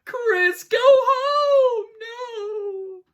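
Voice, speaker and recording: A man's voice: extremely slow, a bit bright. A man giving a theatrical, mock-dramatic reading of an article, breaking off for muttered sarcastic asides. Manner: theatrical, swings between booming and muttered.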